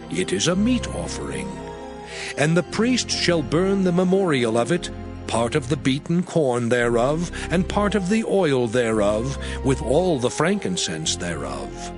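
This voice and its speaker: Solemn man reading scripture, lively intonation, over music. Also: mid-range voice. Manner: solemn, formal, measured phrasing. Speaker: audio Bible narrator. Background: background music.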